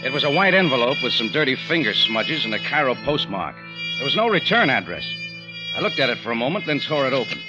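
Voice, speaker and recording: Actor as animated, wry hard-boiled American hero. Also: male voice, medium pitch, fast, lightly acted. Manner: sardonic, tough, wisecracking. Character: wry, hard-boiled American male cafe owner and narrator. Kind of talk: 1940s radio detective narration and acting. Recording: muffled old radio recording, music behind.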